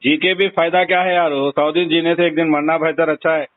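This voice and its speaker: A man's voice: a little high, level tone, brisk. A man with a Hyderabadi accent talking bluntly and insistently in a casual conversation over the telephone. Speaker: phone call recipient. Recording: tinny narrowband phone call recording.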